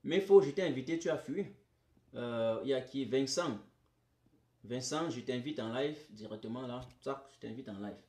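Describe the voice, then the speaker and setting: A man's voice: medium pitch, moderate pace, mellow. A man from Cameroon, hosting a political live stream, emphatic and repeating his points straight to viewers. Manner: emphatic, repeats phrases, addresses viewers directly. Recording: roomy echo.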